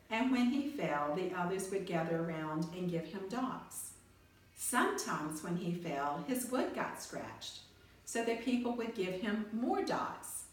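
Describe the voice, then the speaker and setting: A woman storyteller reading a children's storybook aloud in an expressive style. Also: mid-range voice. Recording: echoey room, far from mic, faint noise.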